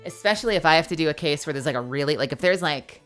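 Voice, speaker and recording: A woman streamer with a gravelly, textured voice, chatting casually and rambling between game lines. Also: low voice, animated, rapid-fire, a bit bright, faint music behind. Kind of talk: live game voice-acting stream.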